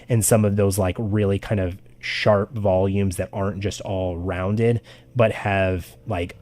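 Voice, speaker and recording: A man giving casual art critique on a live stream, talking loosely with lots of fillers, his voice getting raspy. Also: sits low, darkish tone. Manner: loose and chatty, with many "like" fillers. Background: faint background music.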